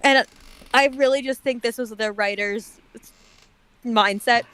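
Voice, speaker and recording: A woman's voice: on the high side, a little slow, fairly bright tone, clear, resonant tone. A woman chatting casually as a commentator, with a dry, sarcastic way of talking. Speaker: guest commentator on a story reading. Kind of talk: casual chat.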